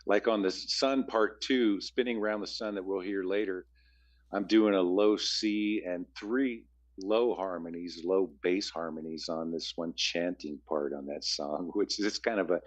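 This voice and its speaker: Deep-voiced man with a low bass. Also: moderate pace. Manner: rambling, restarts often. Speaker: musician guest. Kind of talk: conversational interview answers.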